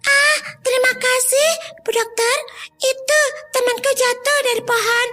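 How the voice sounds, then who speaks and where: Very high, bright earnest schoolgirl. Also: steady tone, measured pace, soft background music, exaggerated voice. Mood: polite. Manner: earnest, chiding, instructive. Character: Aulia, bright, pious, helpful schoolgirl who lectures her friend. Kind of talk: children's audio storytelling with acted dialogue.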